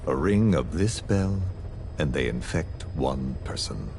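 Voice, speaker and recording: A cold, menacing game villain. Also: male voice, extremely low pitch, animated, exaggerated voice. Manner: slow, measured, quietly threatening. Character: cold, menacing male villain, calm and scheming. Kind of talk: game cutscene dialogue. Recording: noisy game audio recording.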